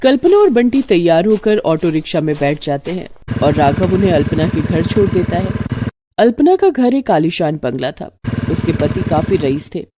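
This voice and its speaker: A woman's voice: fairly low pitch, sing-song, moderate pace, noisy background. A woman narrating a scripted moral story as a storyteller.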